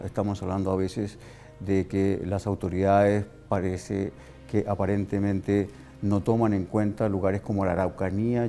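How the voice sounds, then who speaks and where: Male Chilean interviewer, soft-toned low voice, emphatic rhetorical delivery. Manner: emphatic, oratorical, builds lists. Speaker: talk show host. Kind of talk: interview with long, rhetorical questions.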